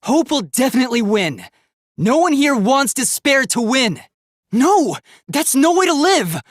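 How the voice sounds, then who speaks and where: A man voicing an earnest, determined and idealistic young male robot in a dramatic video game, with a youthful, light, slightly husky voice and a formal, emphatic delivery. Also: very high-pitched, sing-song, unhurried, no echo, studio quality, heavily acted. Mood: fierce, defiant.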